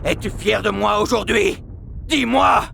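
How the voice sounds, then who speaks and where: A man's voice: sits high, measured pace, vocal fry, noisy background, full character voice. A man playing a bitter, menacing snow leopard villain in a dramatic video game, demanding and intense. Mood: furious, demanding. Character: furious, embittered adult male snow leopard villain.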